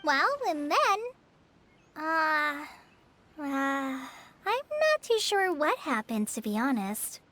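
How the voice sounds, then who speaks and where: A woman voicing a shy, earnest teenage apprentice ranger in an anime-style game, youthful and soft, speaking politely with some hesitancy. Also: extremely high pitch, very animated, normal speed, a little hiss or hum, full character voice. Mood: flustered. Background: music.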